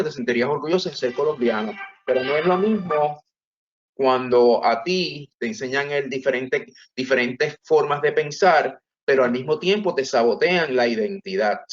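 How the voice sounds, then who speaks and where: Puerto Rican man, earnest guest author, mid-pitched voice, muffled lo-fi audio. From Puerto Rico. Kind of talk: reflective interview talk.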